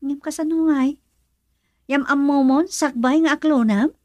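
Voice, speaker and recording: An actress with a northern Philippine accent playing a frank, supportive young best friend in a radio drama, animated and questioning. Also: female voice, high-pitched, unhurried, no room sound. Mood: frank, curious. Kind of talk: Ilocano radio drama acting.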